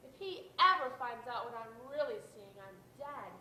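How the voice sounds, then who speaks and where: Young woman as nervous naive teen girl on a date, high bright voice, echoey stage. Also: lively intonation, normal speed. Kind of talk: amateur one-act stage play. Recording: echoey, distant stage recording, faint noise.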